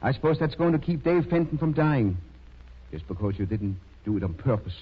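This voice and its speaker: A muffled 1930s radio drama recording carries a severe country doctor. His voice is husky, and he speaks with reproach and firm moral authority. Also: medium pitch, big pitch swings, brisk. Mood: scornful, accusing. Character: stern, morally scolding male country doctor.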